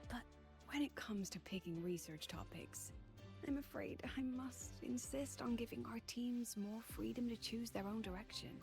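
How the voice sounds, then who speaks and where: A woman voicing a composed, courteous and quietly firm academic leader in a video game, with a measured, formal delivery. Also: fairly high pitch, gravelly. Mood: composed, businesslike. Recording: loud game music over voice. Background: game music.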